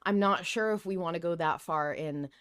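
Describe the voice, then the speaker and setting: A woman podcast host in casual fan discussion, telling things in an animated way with quoted asides. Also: fairly low pitch, normal speed, smooth, no echo, studio quality.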